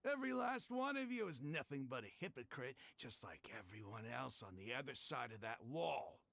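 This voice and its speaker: A man's voice: medium pitch, very animated, normal speed, creaky, fairly bright tone, no room sound. An actor playing a proud, hostile male desert mercenary leader in a video game, speaking with scorn and drama. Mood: scornful.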